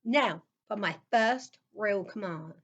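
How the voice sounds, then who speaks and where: A woman's voice: medium pitch, animated, slow, fairly bright tone, lo-fi sound. A woman acting a bossy young boy who is king of the monsters in a children's audio play. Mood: commanding. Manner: commanding, blunt.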